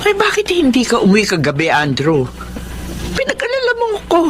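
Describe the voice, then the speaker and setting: A woman's voice: on the high side, huge pitch swings, brisk, music in the background. A woman playing a worried, suspicious Filipino mother of grown children in a radio drama, with an anxious, scolding manner. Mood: upset, scolding.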